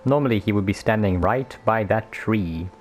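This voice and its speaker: A man's voice: fairly low pitch, normal speed, music in the background. A man reacting casually as he plays a video game, like a streamer talking along. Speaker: gaming streamer.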